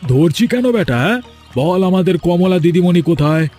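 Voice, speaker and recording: A man's voice: high voice, lively intonation, moderate pace, a little mellow, faint music behind. A man voicing a landlord's loyal, bullying servant in a cartoon, with a vehement, hectoring manner. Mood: aggressive. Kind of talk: cartoon voice acting.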